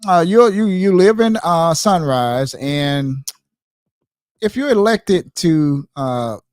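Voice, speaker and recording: A man's voice: fairly high pitch, animated, slow pace, a little mellow, smooth, dry recording, studio quality. Man hosting a podcast interview. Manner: hesitant, many fillers and restarts. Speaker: podcast host.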